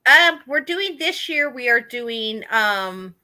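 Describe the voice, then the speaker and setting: Bright high-voiced woman, blunt and sweary. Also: takes it slowly. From Oregon. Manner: blunt and animated, swears freely. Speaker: guest in a group chat. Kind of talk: casual group chat.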